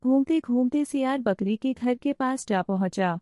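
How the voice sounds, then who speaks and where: A woman's voice: high-pitched, moderate pace, over loud music. A woman narrating a scripted children's story.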